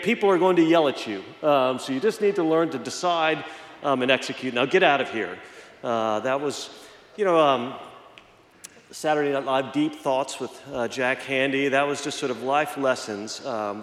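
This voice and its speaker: A middle-aged man, slightly hoarse, preaching a reflective sermon in a church and telling a personal story with many fillers and restarts. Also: a little high. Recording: echoey church hall.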